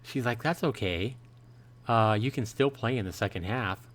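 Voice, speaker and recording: A man's voice: mid-range voice, normal speed. A man hosting a live play-through of a visual novel, reading it aloud with casual commentary and reactions. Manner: reads the dialogue aloud, then paraphrases and reacts.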